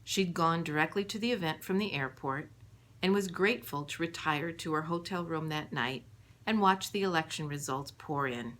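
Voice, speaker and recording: A woman narrates an audiobook as its author. Her voice sits a little on the low side. She tells the story in a measured, scripted delivery. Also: fairly bright tone. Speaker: author reading her own book.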